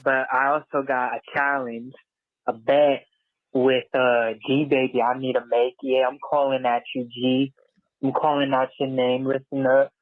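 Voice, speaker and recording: A young man in his late teens calling in to a sports podcast, speaking slowly in a casual chat. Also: medium pitch, no echo. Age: young adult. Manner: slow, flat delivery. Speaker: caller. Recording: tinny phone line.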